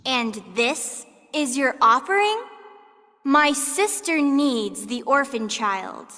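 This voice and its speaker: A sneering, sadistic alien queen from a video game. Her voice is high and theatrical, dripping with haughty mockery, and it echoes through a large hall. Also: lively intonation, takes it slowly, pushed and bright, heavily acted. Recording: long cavernous reverb.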